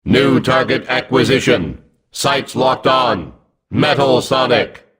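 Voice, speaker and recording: Slow, menacing combat robot. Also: male voice, mid-range voice, fairly bright tone, husky. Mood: cold, threatening. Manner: clipped, mechanical delivery.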